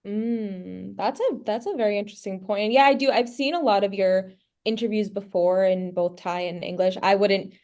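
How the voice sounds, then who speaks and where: A woman's voice: medium pitch, moderate pace, few pauses, a little mellow. A woman interviewer asking questions in a casual, upbeat and encouraging manner.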